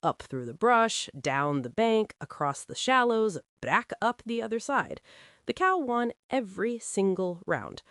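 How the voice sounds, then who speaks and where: A woman's voice: mid-range voice, big pitch swings, a little slow, fairly bright tone, no room sound, studio quality, pitched above the performer's own voice. A woman voicing a big, guileless young male ranch hand in an audio drama, chatty, eager and cheerful. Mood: gleeful storytelling.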